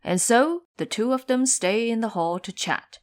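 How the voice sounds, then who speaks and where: A woman's voice: fairly high pitch, normal speed. A woman reading scripted storybook narration for an audio drama.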